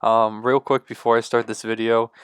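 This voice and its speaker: A man with a stuffy, congested voice and low energy, rambling excitedly to the camera at home. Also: normal speed, smooth, dry recording, clean studio sound. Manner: loose, rambling, excited fan talk with many fillers. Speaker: sports vlogger. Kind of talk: casual rambling talk to camera.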